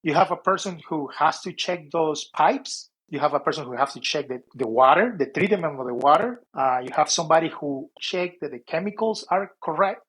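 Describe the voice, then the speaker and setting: A man's voice: a little high, animated, normal speed, hardly any pauses, a bit bright, slightly rough, low-quality recording. A middle-aged man from Peru, a guest in an interview, explaining earnestly in lists, with some restarts. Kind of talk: casual interview talk.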